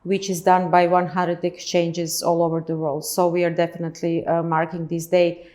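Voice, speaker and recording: A middle-aged woman with a Croatian accent, an executive giving a formal, halting introduction. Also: on the low side, flat delivery, a little mellow. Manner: halting, searching for words. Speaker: executive on a panel.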